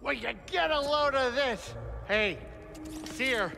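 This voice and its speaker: Game comic relief: excitable little male creature, very high, piercing, in echoing hall. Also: slow, gravelly, faint music behind, heavily acted. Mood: jovial, teasing. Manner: jovial, exclamatory. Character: excitable, wisecracking little male alien pilot. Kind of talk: cinematic video game acting. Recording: long hall reverb, faint score behind.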